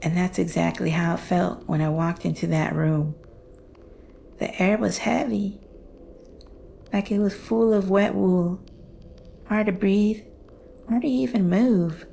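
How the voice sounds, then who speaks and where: Woman acting slow, gravelly haunted daughter. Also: on the low side, dark tone, a little hiss or hum. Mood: dread. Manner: halting, deliberate, with weighty pauses. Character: Jane, haunted grieving daughter recounting dread. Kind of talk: slow dramatic horror monologue.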